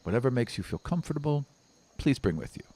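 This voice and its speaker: A man playing a reassuring senior dwarf mentor who speaks in measured, formal phrases in a tabletop game. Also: mid-range voice, very animated, warm tone, breathy edge. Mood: amused, accommodating. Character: male dwarf Seeker, senior, unhurried, reassuring military mentor.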